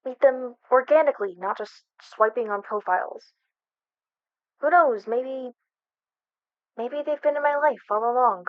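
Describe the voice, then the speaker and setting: Woman playing flustered young best friend, higher-pitched voice, hesitant stammer, casual ASMR roleplay delivery, very dry slightly dull recording. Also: unhurried, long pauses, dry recording. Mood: casual, evasive. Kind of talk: ASMR roleplay, one-sided conversational acting.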